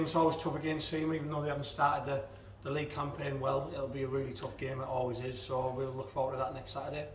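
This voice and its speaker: A man's voice: mid-range voice, a little hiss or hum. A man in his thirties, an assistant football manager, giving a post-match interview with many fillers and restarts. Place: indoors in front of a club sponsor backdrop. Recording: echoey room, mic at a distance.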